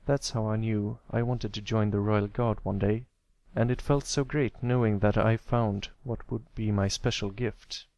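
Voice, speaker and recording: A man voicing a kindly, encouraging older brother pony with a relaxed, reassuring way of talking, in a read-aloud story. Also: lowish voice, measured pace, no echo. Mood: reminiscing.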